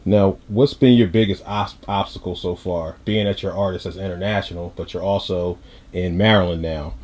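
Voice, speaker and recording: A man's voice: sits low, fairly even intonation, normal speed, a little mellow. A man hosting a casual hip-hop interview, speaking informally with plenty of slang. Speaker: interviewer, host.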